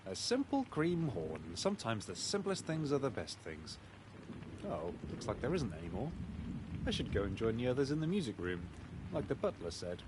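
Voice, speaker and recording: A gentleman pig detective from a video game , played by a man, talking to himself with huge swoops in pitch. He savours every word like a devoted glutton, over faint background noise. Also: very animated, moderate pace, close to an everyday voice. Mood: musing, greedy. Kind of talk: scripted game dialogue.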